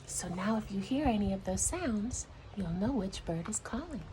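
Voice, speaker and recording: A woman reading a children's storybook aloud like a teacher, in an expressive, deliberate storytelling manner. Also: medium pitch, slight background noise, poor audio.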